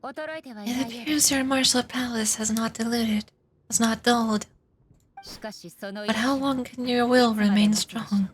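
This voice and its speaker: A woman's voice: a little high, fairly even intonation, takes it slowly, pauses often. A woman playing a solemn, resolute immortal ruler and warrior in a video game, speaking in a formal, grave, declamatory manner. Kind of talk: video game dramatic voice acting.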